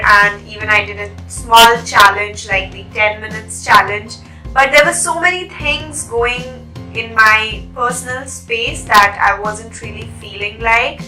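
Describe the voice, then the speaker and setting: A woman's voice: on the high side, bright, forceful tone. A young woman with a cold and a nasal voice, chatting to viewers while doing a makeup tutorial and apologising for her absence. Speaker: beauty vlogger. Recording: roomy echo, faint music behind.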